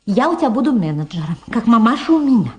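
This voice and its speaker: High-pitched, gushing faded showgirl. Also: sing-song, fast talker. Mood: excited, pushy. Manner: effusive, rambling, colloquial, exclaiming and repeating herself. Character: gushing, vulgar, faded American showgirl reunited with her daughter. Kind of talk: Soviet radio drama acting.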